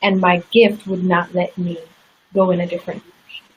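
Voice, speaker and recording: A woman's voice: fairly low pitch, little pitch movement, brisk, highs cut off, a little hiss or hum. A woman guest in an interview, telling a personal story in a candid, earnest way. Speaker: interview guest, success coach.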